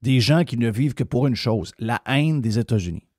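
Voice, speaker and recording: A man's voice: mid-range voice, moderate pace, darkish tone, no room sound, studio quality. A man with a Québécois French accent hosting a radio talk show, ranting bluntly and swearing freely. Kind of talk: opinionated radio rant.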